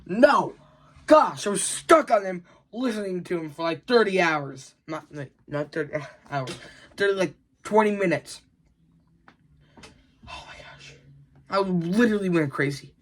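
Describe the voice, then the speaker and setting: A man's voice: sits high, animated, measured pace, a little hiss or hum. A young man hosting a gaming video with casual, exasperated, repetitive reaction chat.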